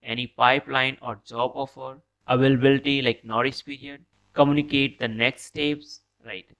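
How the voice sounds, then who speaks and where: Man, mid-pitched, noticeable vocal fry, instructional narration, didactic, short directives, point-by-point delivery. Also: flat delivery, normal speed, a bit bright, highs cut off. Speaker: recruiting trainer presenting.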